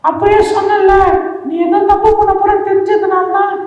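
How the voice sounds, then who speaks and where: A woman's voice: extremely high pitch, level tone, brisk. A woman voicing a fretful little sister. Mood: concerned. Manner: scolding gently, fretting. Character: young girl, caring little sister. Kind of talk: live puppet show for children. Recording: distant, dull sound in echoey hall.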